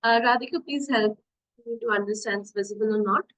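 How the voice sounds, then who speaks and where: Woman, Indian accent, young adult, fast-talking, bright tone, chatty webinar coach, video call mic. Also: fairly high pitch, low-quality recording. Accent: Indian English. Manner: chatty and encouraging, asks the audience questions. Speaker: diabetes nutrition coach presenting a webinar. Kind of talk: online webinar talk, mixing English and Hindi. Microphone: computer mic on a video call.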